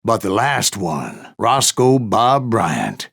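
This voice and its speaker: A male actor playing a grizzled old Wild West bounty hunter in a video game, with a gravelly American voice and a folksy, wry way of telling his tales. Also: lowish voice, huge pitch swings, slow, a bit bright, heavily acted. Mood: vengeful. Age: older. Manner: folksy, wry storytelling drawl. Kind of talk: dramatic first-person game narration.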